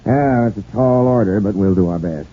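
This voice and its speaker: An actor playing an accommodating, slightly hesitant phone company official, in 1940s radio drama style. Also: male voice, lowish voice, moderate pace, dull audio, natural voice. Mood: obliging. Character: obliging, unhurried male phone company official. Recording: thin, noisy 1940s radio recording.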